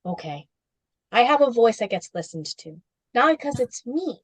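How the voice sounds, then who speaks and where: A woman's voice: a little high, moderate pace, slightly rough, dry recording. A woman guest in a casual interview, talking animatedly with lots of fillers and playful asides. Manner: animated, heavy use of "like", jumps into playful quoted voices. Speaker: interview guest, spiritual business coach.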